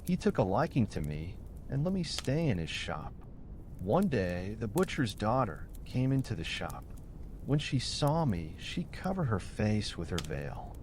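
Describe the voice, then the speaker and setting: A man's voice: on the low side, sing-song, unhurried, darkish tone, a little hiss or hum. A man voicing a wronged old man who tells his tale formally and earnestly in a bedtime storybook. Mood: earnest, wounded.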